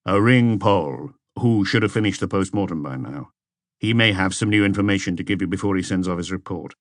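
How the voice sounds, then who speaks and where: Man reading as low-voiced, methodical police chief. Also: brisk, no room sound, clean studio sound, close to an everyday voice. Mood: methodical, businesslike. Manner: terse, methodical instructions. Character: seasoned male police chief, commanding, methodical, brisk. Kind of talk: audiobook character dialogue.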